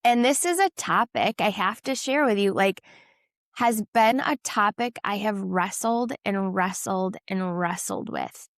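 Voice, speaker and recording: A woman's voice: medium pitch, unhurried, no room sound, studio quality. A young adult woman co-hosting a podcast, sounding nasal from a cold, earnest and candid, speaking in a faith-filled way with many restarts. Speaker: podcast co-host. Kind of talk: candid casual chat.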